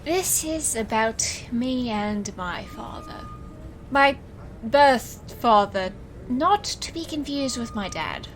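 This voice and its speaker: A woman's voice: high-pitched, huge pitch swings, slow pace, exaggerated voice, poor audio. A woman playing a weary, sardonic stage magician and capable assistant in an audio drama, with dry delivery. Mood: solemn. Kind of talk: audio drama character acting. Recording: faint noise.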